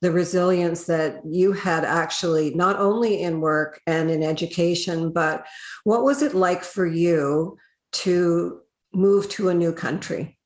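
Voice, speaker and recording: A woman hosting a podcast interview, speaking in a friendly, measured way with small hesitations. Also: lowish voice, reverberant. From Canada. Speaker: podcast host, HR consultant.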